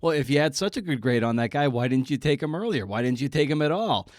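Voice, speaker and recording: An American man in a casual podcast conversation, with a mid-pitched voice and a very dry sound. He talks haltingly, restarting and repeating words while he feels his way through a thought. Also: animated, no echo. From Massachusetts, United States. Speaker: podcast host interviewing a writer.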